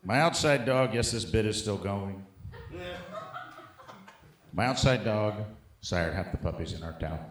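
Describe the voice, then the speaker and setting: A man performing a profane stand-up set, telling animated stories with acted-out bits. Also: deep voice, a little hiss or hum. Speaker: stand-up comedian, special guest. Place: live show on a stage before an audience. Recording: roomy live stage sound.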